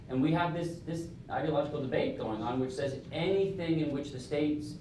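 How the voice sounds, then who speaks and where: A man, a dean hosting a panel discussion, making his points emphatically with frequent asides. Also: mid-range voice. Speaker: business school dean, host. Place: event venue with audience. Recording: very echoey, distant mic, room noise.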